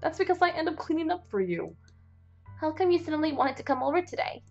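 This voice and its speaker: A woman voicing a bubbly, teasing teenage girl from a video game, reading her lines in a higher, chirpy voice. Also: sing-song, fast, darkish tone, highs cut off, soft background music.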